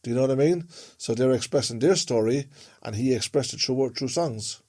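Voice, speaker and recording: A man's voice: medium pitch, a little fast, dark tone. An Irishman co-hosting a podcast in casual conversation, telling things in a rambling way and repeating phrases for emphasis. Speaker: podcast co-host.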